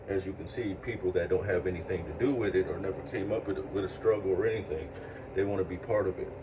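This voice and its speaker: Man, late thirties, very low voice, earnest reflective monologue, repeats phrases, distant mic, air-con and traffic noise behind. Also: fast. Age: 30s. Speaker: speaker sharing life lessons.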